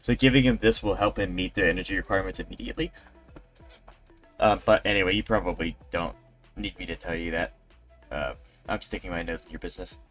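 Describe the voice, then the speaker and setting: Male game streamer, lowish voice, loose rambling chat, music playing behind. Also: a little fast, pauses often, a bit bright. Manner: rambling, conversational. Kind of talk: casual livestream chat. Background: video game music.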